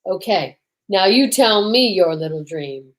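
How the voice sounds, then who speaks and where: Woman acting as police detective, medium pitch, plain-spoken, incredulous sidekick, old-time radio drama. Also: animated, a little slow, close to an everyday voice. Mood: questioning, matter-of-fact.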